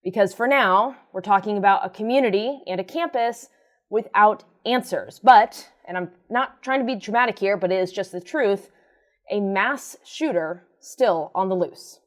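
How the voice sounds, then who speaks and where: A woman's voice: mid-range voice, normal speed. A woman hosting a news commentary show in an informal, conversational way, with sardonic, wry comedic asides.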